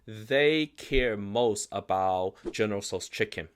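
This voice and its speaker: A man's voice: lowish voice, slow pace, slight background noise. A man whose first language is Chinese explains investing in casual, emphatic talk, acting out quoted reactions. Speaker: investment company founder.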